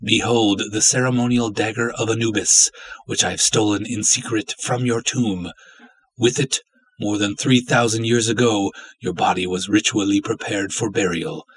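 A man voicing an obsessive, lovestruck villainous cultist in a dramatic story reading, florid, theatrical and menacing, with a husky voice. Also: on the low side, fairly even intonation, moderate pace, low-quality recording. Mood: gloating, menacing.

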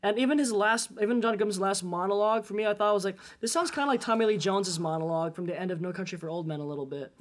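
A man co-hosting a casual film chat in an excitable, colloquial way. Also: high voice, rapid-fire, hardly any pauses. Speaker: podcast co-host.

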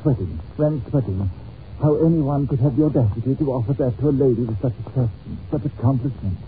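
An actor playing an effusive, wheedling gentleman employer with odd whims in a radio drama, gushing and stammering as he repeats himself. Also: mid-range voice, a little fast, close to an everyday voice. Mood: blustering, grandiose. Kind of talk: old-time radio drama acting. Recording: muffled, noisy old-time radio recording.

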